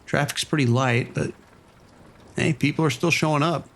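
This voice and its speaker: A man playing an easygoing, watchful casino boss in an audio drama, with a casual, measured way of talking. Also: on the high side, creaky, a bit bright. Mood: mildly uneasy. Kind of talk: scripted horror audio drama radio exchange.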